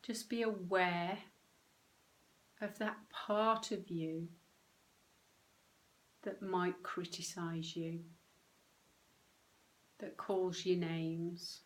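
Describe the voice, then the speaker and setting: A woman guides a meditation in a gentle, slightly low voice. Her intonation stays very level and her delivery is very slow, with short phrases set apart by long, restful pauses. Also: little pitch movement. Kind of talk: guided meditation, soothing instruction.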